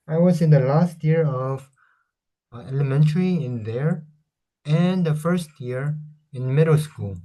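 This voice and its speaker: Korean accent guy in his 30s, talks slow, keeps correcting himself. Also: medium pitch, darkish tone, low-quality recording. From South Korea. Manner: rambling, hesitant, self-correcting. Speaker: vlogger. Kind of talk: casual console review vlog.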